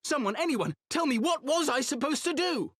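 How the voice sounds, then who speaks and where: A man's voice: very high voice, animated, moderate pace, a bit bright, in a large hall. An actor playing an earnest, guilt-ridden young nobleman in a video game, with formal, pleading speech. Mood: anguished. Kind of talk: dramatic video game cutscene acting.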